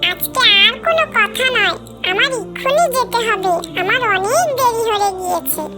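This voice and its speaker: A performer voicing a kind, pious young boy in a children's cartoon, speaking gently, politely and earnestly. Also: very high-pitched, lively intonation, normal speed. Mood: friendly, eager. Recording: children's music behind the voice.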